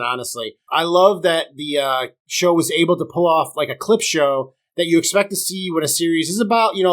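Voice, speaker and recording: Chatty man with a sore throat. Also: fairly high pitch. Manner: chatty, opinionated, addresses viewers directly. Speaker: podcast host. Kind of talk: casual review podcast.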